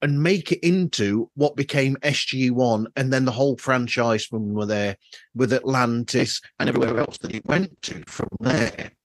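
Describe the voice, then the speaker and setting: A man's voice: medium pitch, moderate pace, dry recording, poor audio. A man hosting a podcast in casual conversation, rambling toward his point, recorded on a troubled microphone. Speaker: podcast host. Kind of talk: casual interview chat. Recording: dry, faulty podcast microphone.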